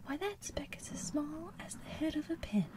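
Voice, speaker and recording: A sour kangaroo full of scorn, voiced by a woman, sneering in a snide whisper. The tone stays mellow and soft despite the mockery. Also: high-pitched, sing-song, normal speed, pitched above the performer's own voice. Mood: scornful. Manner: mocking and snide.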